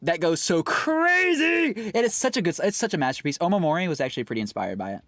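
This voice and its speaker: A man with a somewhat high, very animated voice chats casually with his stream viewers, rambling and filling gaps with ums and quick laughs. His voice is close and dry, with faint music playing under it. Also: no room sound. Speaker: streamer and singer. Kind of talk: casual livestream chat with viewers.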